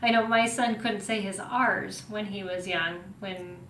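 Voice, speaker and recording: A woman's voice: fairly even intonation, a little slow, a bit bright. A woman hosting a podcast, chatting casually, laughing often and giving many small affirming replies. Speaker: podcast host. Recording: roomy echo with faint rumble.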